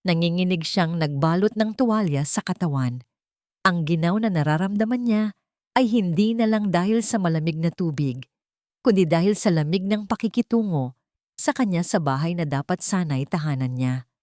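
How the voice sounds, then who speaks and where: A woman with a low voice reads a scripted drama as narrator. The recording is dry, with very little room sound. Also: lively intonation, normal speed, warm tone, dry recording. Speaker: story narrator.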